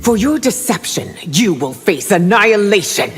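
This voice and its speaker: An actress playing a stern, regal empress in a fighting game, righteous and disdainful, with imperious, formal phrasing. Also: female voice, mid-range voice, sing-song, moderate pace, close to an everyday voice. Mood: cold disdain. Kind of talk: fighting-game confrontation dialogue. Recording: long hall reverb, music behind.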